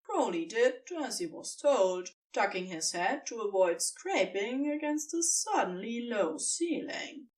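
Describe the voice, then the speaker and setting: A woman reads a story as its narrator, slightly off the microphone. Her delivery is slow and expressive, with wide swings of intonation in a scripted storytelling style. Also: fairly high pitch, far from the mic.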